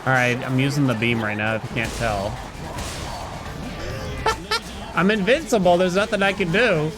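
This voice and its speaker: A man's voice: on the high side, lively intonation, a little slow. A middle-aged man chatting casually as he commentates a live game stream, thinking aloud in a rambling way. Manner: rambling, thinking aloud, humming little nonsense tunes. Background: loud game music.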